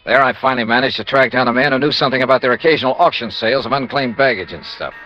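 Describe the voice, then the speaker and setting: A man's voice: mid-range voice, very fast, pushed and bright, dry recording, natural voice. An actor playing a persistent, wry American insurance investigator in a classic radio detective drama, clipped and dry in his delivery. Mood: dry, narrating. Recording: dull, muffled old radio recording.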